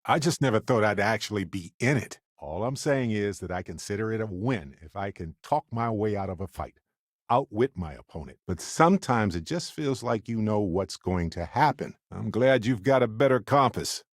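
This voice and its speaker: An actor playing a wry, affectionate, talkative space explorer companion in a video game, with a playful, expressive, conversational delivery. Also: male voice, lowish voice, normal speed, no room sound, studio quality. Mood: wry, reflective.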